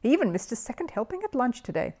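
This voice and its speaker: Woman as a storybook mum, gentle, fretful, soft, varied pitch. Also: sing-song, fast talker, breathy edge, full character voice. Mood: reassuring. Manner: soft, worried. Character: gentle, fretful mother of a family.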